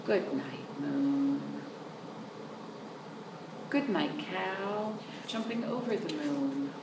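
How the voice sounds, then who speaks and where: A woman hosting storytime for young children, reading aloud in an animated sing-song way with chatty asides to her listeners. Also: lowish voice, drawn-out words. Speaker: children's storytime host at a library. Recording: roomy, distant microphone with noticeable background noise.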